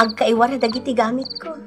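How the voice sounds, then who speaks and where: Woman as wilful, secretive daughter for radio melodrama, high-pitched, music underneath. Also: steady tone, moderate pace, lightly acted. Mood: tender, secretive. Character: headstrong, secretive grown daughter, sweet-talking and defiant. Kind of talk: Ilocano radio drama acting. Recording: old radio recording with music behind.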